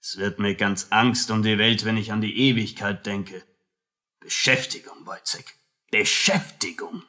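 Radio play role: fussy, condescending male captain, bright forceful voice, slow drawling delivery. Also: on the low side. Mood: anxious, brooding. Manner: pompous, slow, drawling, lecturing. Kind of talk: German radio play acting.

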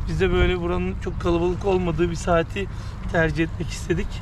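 A man's voice: high-pitched, fast talker. A man in his thirties hosting a fishing vlog, chatting playfully with his son while catching creatures by a stream. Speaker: fishing channel host. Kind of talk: casual outdoor vlog talk to camera. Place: outdoors by a park stream. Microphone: action camera on the body. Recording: outdoor camera audio with steady background noise.

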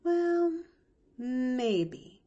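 A good-hearted young servant woman from a storybook, played by a woman reader, blunt and given to grumbling. She talks in folksy rustic phrases, with pitch that moves in lively swings. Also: slow, highs cut off, exaggerated voice. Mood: doubtful. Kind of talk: expressive audiobook character reading.

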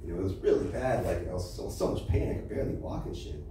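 A man's voice: low-pitched, animated, fast, warm tone. Echoey American male stand-up comic. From Los Angeles, USA. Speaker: stand-up comedian. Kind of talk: stand-up comedy set. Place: small comedy club stage, indoors. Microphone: handheld mic, heard through distant camera. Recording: echoey, noisy club room from far off.

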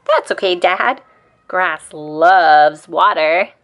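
A woman's voice: fairly low pitch, very slow, a bit bright, exaggerated voice. A woman reading the part of an earnest, upbeat little boy critter in a storybook. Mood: reassuring.

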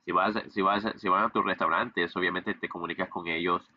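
A man's voice: sits low, fairly even intonation, fairly quick, no room sound, muffled recording. A young man who runs a digital marketing agency, giving a casual screen-share tutorial with lots of fillers and restarts, recorded on a webcam. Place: indoor room, webcam in corner of screen recording.

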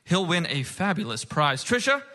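A man's voice: high-pitched, sing-song, normal speed, sharp tone, slight background noise. A man hosting a comedy game show on stage, with a showy, emphatic announcer delivery. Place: stage before a live audience.

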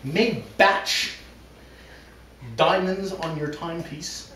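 A man in his thirties giving a mock-serious dramatic reading in a deliberate, theatrical manner with long pauses, in a living room. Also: on the high side, pushed and bright. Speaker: talent show performer. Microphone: far camera. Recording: distant camera, roomy echo, noisy background.

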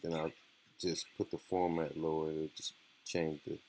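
A man giving a casual software tutorial in a laid-back, slangy way. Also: rumbling low, little pitch movement, normal speed, no echo. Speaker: music production tutorial host.

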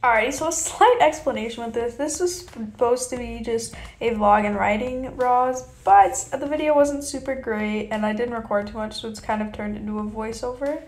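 A woman's voice: a little high, moderate pace, few pauses. A young woman giving a chatty, informal vlog voiceover as a horse rider. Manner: chatty, informal, hedging with kinda and you know. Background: background music.

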